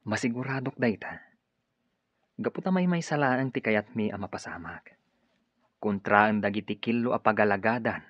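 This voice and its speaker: A man with an Ilocano accent playing a smooth-talking, persuasive stranger in a radio drama. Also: fairly low pitch, moderate pace, pauses often, dry recording, lightly acted. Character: Edgar, smooth-talking male stranger, persuasive recruiter for a group. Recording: dull lo-fi radio drama recording.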